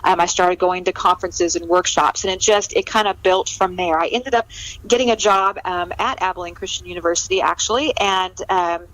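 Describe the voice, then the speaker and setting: A woman's voice: on the low side, brisk, fairly bright tone, poor audio. A middle-aged American woman from California chatting as an interview guest in a conversational, self-deprecating way. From California, United States.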